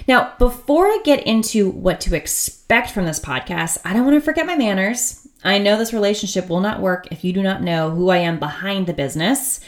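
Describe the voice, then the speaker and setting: A woman's voice: medium pitch, a bit bright. A woman in her thirties from the United States hosting her own podcast, talking in a chatty, upbeat and candid way with frequent laughs. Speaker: podcast host. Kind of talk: casual solo podcast monologue.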